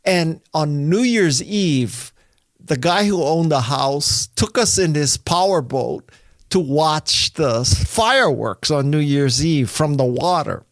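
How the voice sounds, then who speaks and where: A man's voice: fairly high pitch, animated, slow pace, no echo. A man in his sixties from Hawaii hosting a podcast interview, playful and teasing, laughing often. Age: 60s. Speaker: podcast host. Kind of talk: casual interview chat. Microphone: video call.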